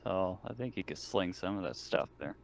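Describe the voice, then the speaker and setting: Low, gravelly man bantering in a game. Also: moderate pace. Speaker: tabletop game player. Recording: noisy online voice chat.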